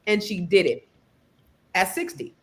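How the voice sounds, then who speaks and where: A woman's voice: medium pitch, sing-song, unhurried, fairly bright tone. A woman hosting a podcast, talking casually and with encouragement, using slang, over a video call. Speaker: podcast host.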